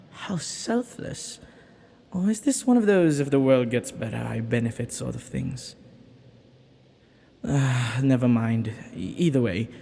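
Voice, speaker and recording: A man's voice: medium pitch, vocal fry, husky, exaggerated voice. A man playing a flirty, ancient banished villain in an intimate audio roleplay, lonely and teasing, with hesitant, murmuring delivery. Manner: flirtatious, hesitant, breaking into murmurs and soft chuckles.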